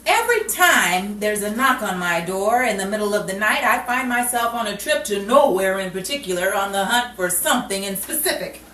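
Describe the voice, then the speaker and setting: A woman's voice: medium pitch, expressive, normal speed, hardly any pauses, pushed and bright. A woman playing a put-upon 1940s Hollywood woman, wisecracking with dry exasperation in a radio comedy style. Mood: put-upon complaining. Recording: roomy, distant mic with faint noise.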